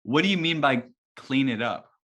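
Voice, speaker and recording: A man's voice: mid-range voice, lively intonation, moderate pace, a bit bright. A man playing an unsure, casual newcomer superhero in an amateur table read. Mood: puzzled.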